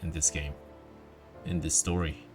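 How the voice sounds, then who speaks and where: A man's voice: rumbling low, flat delivery, measured pace. A man doing casual, jokey live commentary as a game streamer, reacting off the cuff in short bursts over game music. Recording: game music and dialogue behind voice.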